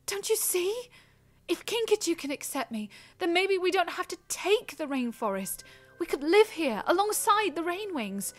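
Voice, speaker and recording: A young dragon daughter played by a woman pleads in a very high, animated voice. She is timid yet earnest and hopeful. It is fantasy audio drama acting with music underneath. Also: moderate pace. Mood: pleading, hopeful.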